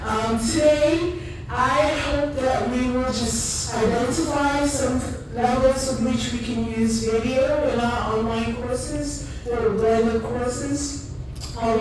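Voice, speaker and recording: A woman with a Cameroonian accent gives a lively, joking conference talk at a higher pitch. Her voice sounds distant, in a very echoey room with clear background noise. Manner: lively and chatty, joking with the audience. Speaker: conference presenter. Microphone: handheld or stand microphone in a room.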